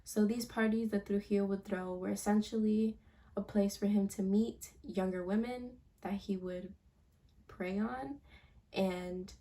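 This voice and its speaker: A young woman giving a class presentation over slides, speaking casually with some halting and fillers. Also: medium pitch, creaky, warm tone. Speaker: student presenter.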